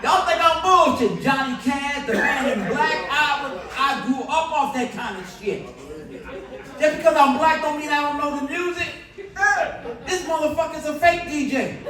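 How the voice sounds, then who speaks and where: A man in his sixties doing loud, profane, rambling stand-up comedy at a bar and grill. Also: very high voice, normal speed, over a noisy crowd. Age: 60s. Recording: echoey club recorded from far off. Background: audience laughter.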